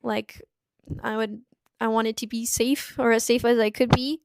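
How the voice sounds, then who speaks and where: Casting: young woman in her twenties, high voice, halting and earnest, dry close recording. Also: flat delivery, vocal fry, clean tone, no echo. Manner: halting, tearful at times. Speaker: streamer in a played clip. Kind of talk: emotional personal account. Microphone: small clip-on mic.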